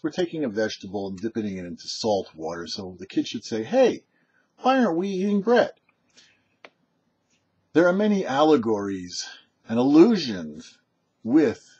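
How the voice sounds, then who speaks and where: Slow, expressive husky man teaching. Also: mid-range voice, dull audio. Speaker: rabbi hosting a weekly Torah teaching show. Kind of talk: prepared religious teaching, read aloud.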